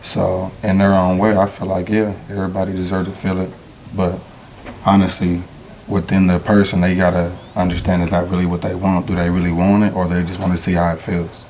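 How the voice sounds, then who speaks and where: A man's voice: sits low, flat delivery, brisk, a little mellow, slight background noise, low-quality recording. A man in his twenties, a podcast panel guest who thinks aloud and hesitates with fillers. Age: 20s. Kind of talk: casual panel discussion.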